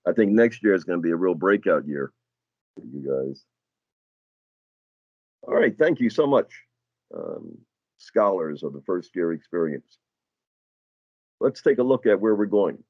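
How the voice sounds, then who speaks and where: A man moderating a student panel, speaking haltingly with many fillers. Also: deep voice, huge pitch swings, vocal fry, husky, no room sound, muffled recording. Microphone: video call.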